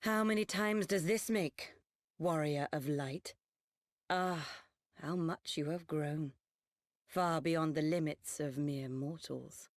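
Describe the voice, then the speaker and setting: A quietly menacing sorceress from a fantasy game, her voice pitched low. She speaks slowly in measured, archaic phrases, knowing and superior throughout. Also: no room sound, studio quality. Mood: knowing, mocking. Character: enigmatic dark sorceress, poised, condescending, quietly menacing. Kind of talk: dramatic fantasy video game acting.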